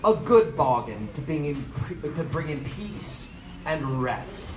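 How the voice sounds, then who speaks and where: An actor playing a doomed, menacing ghost of a sea captain in live old-time radio horror style, speaking portentously with dramatic pauses. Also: male voice, a little high, very animated, noisy background. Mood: grim, haunted. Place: on stage in a theater. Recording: echoey live theater, loud music behind.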